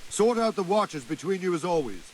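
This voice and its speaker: Stern, high-toned medieval guard captain. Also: male voice, very animated, a little fast, poor audio. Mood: stern, commanding. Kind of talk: dramatic game cutscene acting. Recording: faint rain and hiss.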